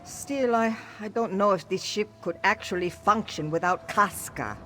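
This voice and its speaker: A woman's voice: mid-range voice, moderate pace, sharp tone, a little hiss or hum, natural voice. A woman voicing a loyal, reflective veteran cat-folk sailor in scripted video game dialogue. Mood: fond. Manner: reflective, speaks of self in third person.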